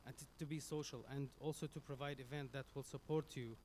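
A man from Syria with a Syrian Arabic accent, Arabic his first language, giving an earnest, halting public speech into a stage microphone as a community speaker. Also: medium pitch, fairly even intonation, darkish tone, slight background noise. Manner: earnest, halting, with many restarts.

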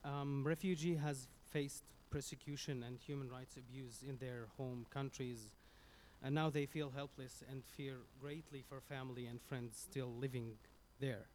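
Syrian arabic accented guy speaking at a community event, earnest, stops and restarts a lot, mid pitch, faint background hum. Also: fairly even intonation, darkish tone. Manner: earnest, halting, with many restarts. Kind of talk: public speech to an audience. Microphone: stage microphone.

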